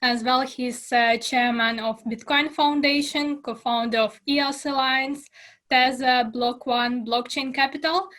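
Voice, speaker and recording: Casting: young woman, high bright voice, courteous formal interviewer on a webcam call. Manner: polite, slightly formal questions with pauses. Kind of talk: remote video interview. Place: small indoor room. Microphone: webcam on a video call.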